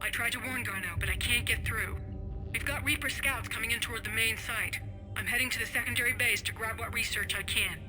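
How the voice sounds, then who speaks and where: A woman's voice: a little high, a little fast, bright, forceful tone, low-quality recording. Scared lady scientist radioing in from a dig, urgent, anxious, music behind her. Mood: worried, urgent. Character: worried female field researcher reporting from a dig. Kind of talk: video game dialogue.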